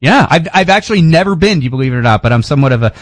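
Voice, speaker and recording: A man's voice: on the high side, expressive, very fast, no echo. An American man hosting a radio talk show, chatting casually with a guest in a rambling way with many fillers and restarts. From United States. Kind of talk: casual radio interview chat.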